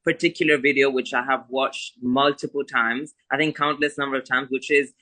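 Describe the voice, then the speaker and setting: A man's voice: mid-range voice, steady tone, normal speed, bright, forceful tone. A man who grew up in Spain, interviewing guests in a friendly, enthusiastic way with plenty of fillers. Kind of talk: casual interview.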